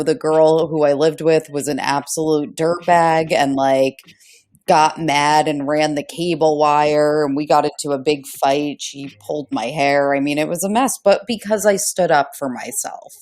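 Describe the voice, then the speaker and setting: A woman with a markedly low voice chats as a podcast co-host. She is blunt and animated when telling stories and swears casually. Music sits behind her voice. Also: a little slow. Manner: blunt, animated storytelling, profane. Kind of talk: casual conversational podcast chat.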